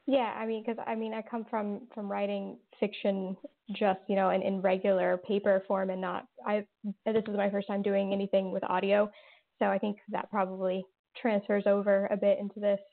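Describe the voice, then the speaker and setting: A woman's voice: medium pitch, flat delivery, normal speed, gravelly. A woman, a horror writer and interview guest, answering thoughtfully with lots of fillers and restarts. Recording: tinny phone-like line.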